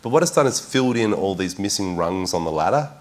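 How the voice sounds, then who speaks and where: Panelist, male, very low voice, quick delivery, restarts mid-thought. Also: expressive. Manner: thoughtful, repeats words while building a point. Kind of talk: panel discussion.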